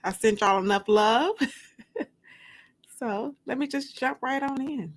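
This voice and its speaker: A woman hosting a livestream as an author, chatting and reading dramatically from a book with drawn-out, emphatic delivery. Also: high-pitched. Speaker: host and author.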